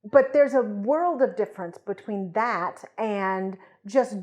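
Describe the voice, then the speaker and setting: A woman's voice: medium pitch, slow, darkish tone. Woman podcast co-host, conversational and emphatic. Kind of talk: conversational explanation with hypothetical examples.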